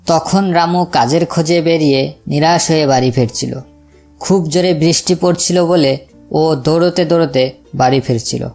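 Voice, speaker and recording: Female narrator for a moral story, low register, scripted delivery, light music underneath. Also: darkish tone. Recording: music behind the voice. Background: background music.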